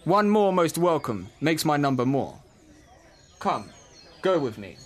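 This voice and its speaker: A man playing a measured, hospitable noble father in a student Shakespeare audio play. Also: a little high, big pitch swings.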